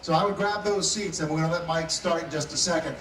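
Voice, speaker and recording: A man's voice: a little high, fast talker. A man hosting a food radio and TV show, introducing a guest chef live at an event in an upbeat, promotional, announcer-like way. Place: outdoor festival stage. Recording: echoey PA sound from far off.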